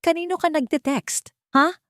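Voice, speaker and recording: A woman's voice: sits high, fairly even intonation, moderate pace, fairly bright tone, dry recording, pitched above the performer's own voice. Woman acting out a drunk angry husband, paranoid and bossy, audio story, pretty dry sound. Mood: angry, accusing.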